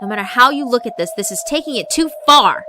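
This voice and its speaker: A woman's voice: a little high, animated, quick pace, background noise, pitched above the performer's own voice, close to an everyday voice. Woman acting an indignant, scolding young girl spirit. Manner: scolding, pleading. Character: earnest, indignant young girl spirit who objects to cruelty.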